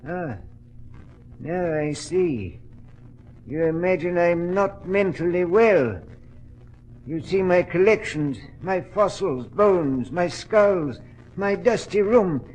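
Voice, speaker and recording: An actor playing an eccentric, frail old British recluse in 1940s radio style, with a husky, quavering delivery. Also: male voice, sits high, animated, takes it slowly, a little mellow, poor audio. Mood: brooding. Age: older. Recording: vintage radio drama recording.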